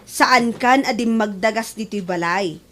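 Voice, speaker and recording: A woman's voice: fairly high pitch, a little slow, a bit bright. A woman with an Ilocano accent playing a chiding mother of grown daughters in a comedy radio drama. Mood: reproachful.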